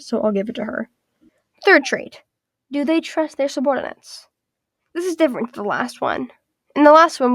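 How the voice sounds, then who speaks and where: A woman with a fairly high voice that comes out raspy and congested from being sick. She walks through a scripted video essay in an orderly, list-by-list way. The sound is dry and close, with no room around it. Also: dry recording. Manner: structured, list-by-list evaluation.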